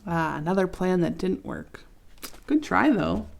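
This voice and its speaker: A young adult woman reading a picture book aloud to children, gently commenting and asking them questions. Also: fairly low pitch, lively intonation, unhurried, gravelly, a little hiss or hum. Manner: gentle, asks listeners questions, adds comments. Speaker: children's story reader.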